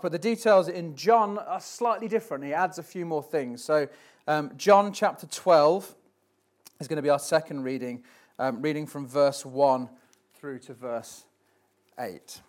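A man filling in as preacher, giving a church sermon and reading scripture in a hesitant, self-deprecating way with many ums. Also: on the high side. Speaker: stand-in preacher. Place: church platform before a congregation.

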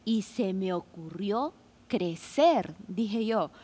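A woman in her forties speaks in a reflective, unhurried way, leaning on fillers as she recounts returning to law school. Her voice sits in a middle register, and the talk is casual podcast conversation. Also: mid-range voice, expressive, mellow, breathy edge. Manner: reflective, many fillers like "este" and "¿no?". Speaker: guest, law student.

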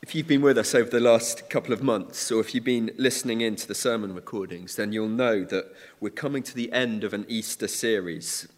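A man preaching a sermon in a church, measured and earnest, with occasional restarts. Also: on the low side. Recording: church hall reverb.

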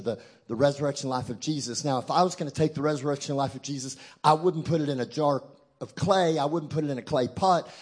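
Husky Texan preacher, joking and emphatic. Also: male voice, fairly high pitch. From Texas. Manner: emphatic, joking, call-and-response with the crowd. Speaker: guest preacher. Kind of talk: sermon.

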